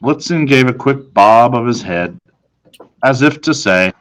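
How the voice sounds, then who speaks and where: A man reading a children's storybook aloud in a dramatic manner, with deliberate pauses. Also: mid-range voice, lively intonation. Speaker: storybook reader.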